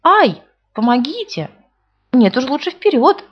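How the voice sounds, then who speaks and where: A woman's voice: sits high, sing-song, moderate pace, pitched above the performer's own voice. A woman voicing a greedy, bumbling little male bear who stammers and whines. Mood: panicked. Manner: stammering, whiny, hesitant. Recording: dull lo-fi recording.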